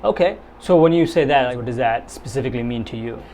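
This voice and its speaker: A man's voice: medium pitch, fast talker, a little mellow, a little hiss or hum. A young man hosting an interview, asking questions in a friendly, loose way with fillers like "kinda" and "you know". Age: young adult. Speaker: interview host. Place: small studio room with plain wall.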